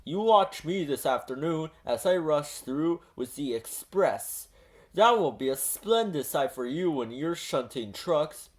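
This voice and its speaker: A man's voice: fairly high pitch, expressive, unhurried. A man voicing a boastful, grumbling big steam engine with a pompous, huffy manner in a children's storybook.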